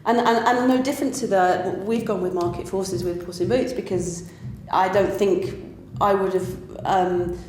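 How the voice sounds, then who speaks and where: A woman's voice: mid-range voice, steady tone, normal speed, darkish tone. A woman, a pantomime writer and director, giving her views in an earnest, emphatic way. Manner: earnest, emphatic, occasional laugh. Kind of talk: conversational discussion. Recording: echoey room with faint background noise.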